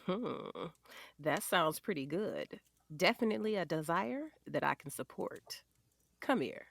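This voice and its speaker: A woman acting an emotional wife and mother in an audio drama. Her voice swings widely in pitch and sounds close and dry. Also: big pitch swings, measured pace, gravelly, dry recording, heavily acted. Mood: sultry, hushed. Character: wife and mother, sultry, then panicked and distraught.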